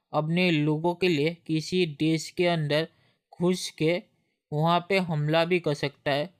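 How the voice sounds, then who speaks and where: Flat, smooth-voiced male commentator. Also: a little high. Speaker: YouTube commentator. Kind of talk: monotone scripted commentary.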